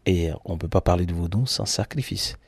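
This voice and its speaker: A man's voice: deep voice, normal speed, gravelly, husky, dry recording. A man in his thirties from Benin with a Beninese accent, telling his own story in an interview as a guide, repeating words for emphasis. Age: 30s. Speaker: tour agency founder and nature guide.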